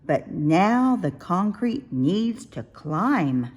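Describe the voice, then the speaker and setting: A woman reading a rhyming picture book aloud for young children, with expressive, sing-song, deliberate phrasing. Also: lowish voice, slight background noise. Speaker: children's storybook reader.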